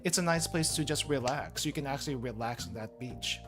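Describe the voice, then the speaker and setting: A man's voice: medium pitch, brisk, fairly bright tone. A man hosting a casual gaming chat stream, easygoing and hesitant, often repeating words as he starts a sentence. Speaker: streamer host. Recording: faint game music behind.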